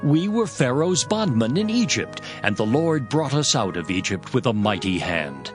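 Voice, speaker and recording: Man acting a grave, expressive biblical father. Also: mid-range voice, normal speed, lightly acted. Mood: reverent, solemn. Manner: solemn, declamatory storytelling. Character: grave devout father recounting his people's deliverance. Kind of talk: dramatized scripture reading. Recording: prominent music behind the voice.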